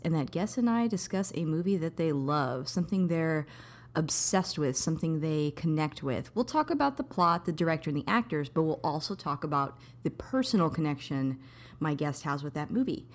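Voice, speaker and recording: A woman's voice: low-pitched, expressive, moderate pace. Woman podcast host, upbeat, conversational. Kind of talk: casual podcast chat.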